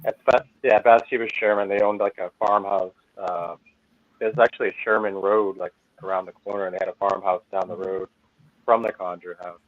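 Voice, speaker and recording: A man's voice: deep voice, steady tone, fairly quick, no room sound, muffled recording. A man with a Maine accent, chatting casually as a podcast guest over a video call, rambling with lots of fillers and laughing at himself. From Maine, USA. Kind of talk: casual chat.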